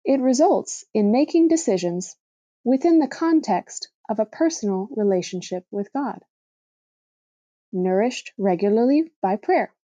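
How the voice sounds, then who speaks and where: A woman with a fairly high, mellow voice reads a reflective blog essay aloud. Her delivery is measured and deliberate, clearly working from a script. The sound is dry and close, with very little room in it. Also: expressive, no echo. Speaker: reader of a blog post for a podcast.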